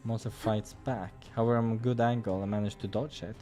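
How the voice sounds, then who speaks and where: A man's voice: lowish voice, steady tone, brisk, dark tone. A man hosts a visual novel playthrough with music behind him. He reads the narration aloud and drops into casual remarks, sometimes tripping over a line and correcting himself. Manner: stumbles over read lines and corrects himself. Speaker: let's player reading a visual novel aloud. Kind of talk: gaming playthrough, reading narration with casual asides.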